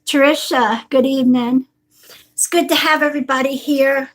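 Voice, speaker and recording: A woman's voice: sits high, fairly even intonation, moderate pace, poor audio. A woman psychic greeting viewers one by one on a live stream, a little stuffy from a cold and calling people honey and sweetheart. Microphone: device on a table.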